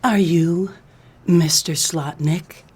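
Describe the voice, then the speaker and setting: A woman's voice: on the low side, steady tone, takes it slowly, a little mellow, husky. A woman playing a coy, scheming femme fatale widow in a comedic noir radio play, sweet-talking with pet names. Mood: coy, flirtatious.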